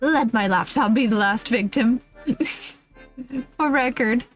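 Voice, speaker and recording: A woman's voice: on the high side, slow pace. Amused woman reading aloud over music. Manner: amused, giggly, playful. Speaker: streamer reading a humorous list aloud. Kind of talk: casual live reading with asides and commentary. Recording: music playing behind the voice. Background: background music.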